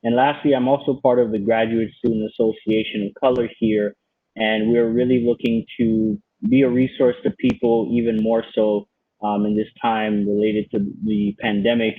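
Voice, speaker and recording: A man's voice: on the low side, moderate pace, no echo. A young man, a graduate student who grew up in Miami, introducing himself earnestly on a panel over a video call. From Bahamas and Haiti, raised in Miami. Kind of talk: prepared self-introduction. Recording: dry, phone-like video call audio.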